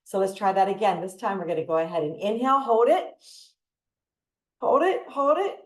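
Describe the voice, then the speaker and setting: A woman leading a laughter yoga session, giving breathing cues and breaking into loud laughter often. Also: mid-range voice, lively intonation, moderate pace, reverberant. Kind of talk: guided exercise instruction. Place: video call from home. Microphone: computer mic on a video call.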